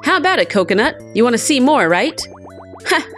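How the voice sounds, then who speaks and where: Woman voicing a bubbly, high-voiced young mermaid. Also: big pitch swings, a little fast, a bit bright, soft background music. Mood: chatty, cheerful. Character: cute, bubbly, dreamy young female mermaid dancer.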